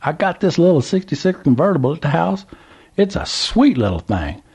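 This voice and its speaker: A man voicing an excitable, toothless old Southern man who drawls and exclaims in a high voice. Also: normal speed, breathy edge, heavily acted. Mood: excited.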